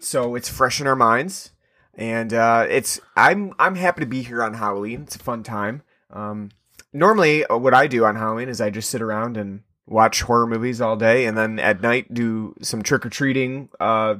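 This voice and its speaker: A man's voice: mid-range voice, bright, forceful tone. A man hosting a podcast in casual chat, rambling with lots of fillers. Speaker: podcast host.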